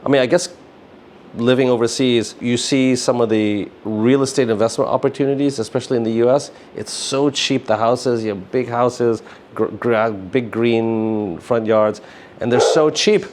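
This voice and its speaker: A man's voice: medium pitch, moderate pace, a little mellow, slight background noise. A middle-aged man speaking casually as an interview guest, in a rambling way peppered with filler phrases. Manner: rambling, often says "you know" and "like". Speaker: interview guest, business founder.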